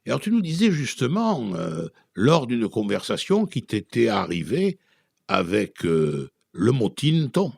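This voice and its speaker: A man hosting a conversational radio interview, putting his questions in a halting, reflective way. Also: mid-range voice, huge pitch swings, creaky, a little mellow, slightly rough, dry recording, studio quality.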